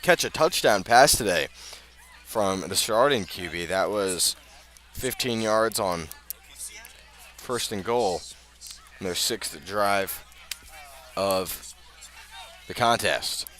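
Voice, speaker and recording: Male American radio football announcer, lower register, measured delivery that turns emphatic for key plays. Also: pushed and bright. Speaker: play-by-play announcer. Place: outdoors at a high school football game.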